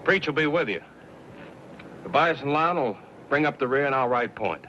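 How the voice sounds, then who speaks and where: An actor playing a steady, commanding wagon-party leader in a radio western. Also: male voice, medium pitch, moderate pace, a little hiss or hum. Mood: commanding, watchful. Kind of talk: old-time radio western acting. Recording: dull old radio recording, music behind.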